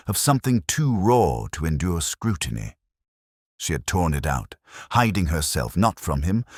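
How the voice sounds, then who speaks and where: A man narrating a literary detective story in the first person, with a solemn, measured, brooding delivery. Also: extremely low pitch, breathy edge, no echo, studio quality.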